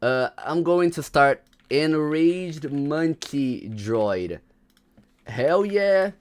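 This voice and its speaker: Man, young adult, livestream host, animated, very lively pitch. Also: extremely slow. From Brazil. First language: Portuguese. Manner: animated, slangy, chats with viewers. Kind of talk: casual livestream commentary.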